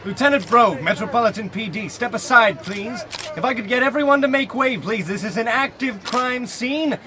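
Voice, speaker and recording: Man playing a high-strung, squeamish police lieutenant. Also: moderate pace, crowd noise. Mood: bossy, flustered. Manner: fussy, excitable, easily flustered. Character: squeamish, bossy, nervous male police lieutenant. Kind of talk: old radio comedy play acting.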